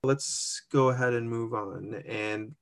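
A man's voice: medium pitch, fairly even intonation, slow, vocal fry. A man co-hosting a casual online walkthrough of an application form, thinking aloud and laughing at his own slips. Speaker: co-host walking through an online immigration form. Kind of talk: casual screen-share walkthrough.

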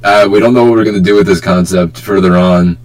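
A man's voice: low voice, fairly even intonation, quick pace, darkish tone. A young man hosting a casual, joking group podcast chat with plenty of banter. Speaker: podcast host. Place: remote group call.

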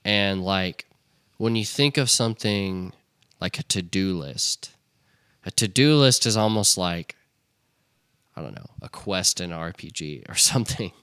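Bright-toned young man, casual podcast ramble. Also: sits low, expressive, unhurried, smooth, dry recording, clean studio sound. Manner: rambling, many restarts and "like". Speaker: podcast co-host. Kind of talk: casual chat.

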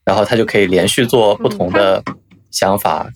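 A man's voice: lowish voice, steady tone, moderate pace. A man chatting casually as a podcast co-host, adding his own observations. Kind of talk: casual chat.

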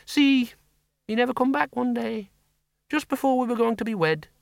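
A man doing a sharp older country spinster. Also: extremely high pitch, vocal fry, dry recording, studio quality, voice pushed up. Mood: wistful. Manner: brisk, blunt country talk. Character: sharp-tongued older spinster farm woman. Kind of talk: audiobook character voice.

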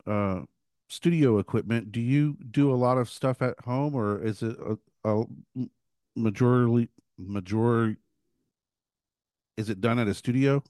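A man's voice: medium pitch, darkish tone, dry recording, clean studio sound. A man hosting an interview podcast, asking long rambling questions with many restarts. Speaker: podcast host; works at a guitar shop. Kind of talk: casual interview chat.

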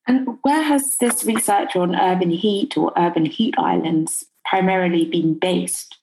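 Low-pitched woman hosting a podcast. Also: unhurried, a little mellow, a little hiss or hum, low-quality recording. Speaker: podcast host. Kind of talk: podcast interview, measured questions.

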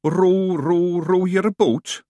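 Guy doing a meek scottish fairy dude, high and timid, very dry sound. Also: very animated, unhurried, no room sound. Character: timid simple little fairy man.

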